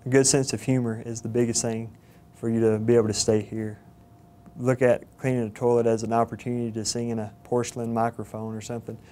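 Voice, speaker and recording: A young man in his twenties with a Southern Georgia accent, earnest and halting with fillers, speaking in an interview. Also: mid-range voice, little pitch movement, dark tone. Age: 20s. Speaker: cadet candidate. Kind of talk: interview testimonial. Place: studio with plain backdrop. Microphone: lapel mic.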